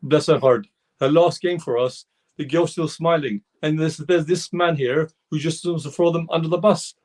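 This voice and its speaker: A man on a football fan show talks with heat and rambles, starting his sentences over and over. His voice sits a little high and is slightly hoarse. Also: fairly even intonation, normal speed, darkish tone. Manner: heated, rambling with many restarts. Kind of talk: casual football fan discussion.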